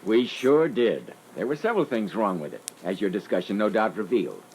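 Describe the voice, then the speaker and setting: A man's voice: on the low side, sing-song, fairly quick, darkish tone. A man giving scripted instructional critique as a panelist on a sales training record. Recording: faintly noisy old reel-to-reel training record.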